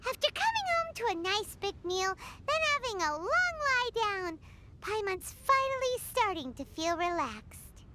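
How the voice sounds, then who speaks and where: A woman voicing a tiny, cheerful, childlike fairy companion in a video game, squeaky and exclamatory. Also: sing-song, a little slow, noisy background, full character voice. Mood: cheerful, content. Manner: exclamatory, whiny, bubbly. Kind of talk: video game cutscene acting.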